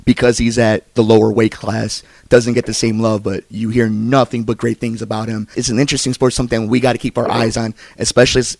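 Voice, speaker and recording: A man's voice: mid-range voice, darkish tone, no room sound. An American man hosting a conversational sports podcast interview, talking in long, winding questions.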